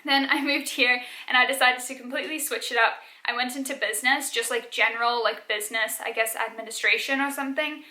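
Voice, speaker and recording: A woman's voice: fairly high pitch, steady tone, brisk, few pauses, bright, forceful tone, echoey room. A woman in her twenties from South Africa, with an accent mixing South African, Australian and American, chatting casually through a Q&A vlog and filling it with "like.". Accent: mixed South African, Australian and American. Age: 20s. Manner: chatty, many "like" fillers, frequent light laughs. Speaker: vlogger.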